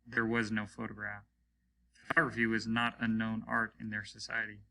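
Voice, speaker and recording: A man reading a story aloud as an expressive narrator, in the manner of a teacher. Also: on the low side, moderate pace, creaky, poor audio.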